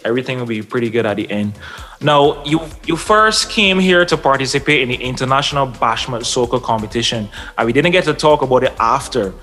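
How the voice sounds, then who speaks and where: A man's voice: medium pitch, animated, a little fast, pushed and bright. A man interviewing a guest, enthusiastic and tripping over his own restarts. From Barbados. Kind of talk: casual interview.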